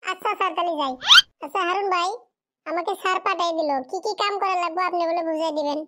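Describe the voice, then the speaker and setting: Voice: very high voice, quick pace, very noisy. A performer voicing a poor, fatherless schoolboy in a cartoon drama, pleading and plaintive in a rural Bengali dialect. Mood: resigned.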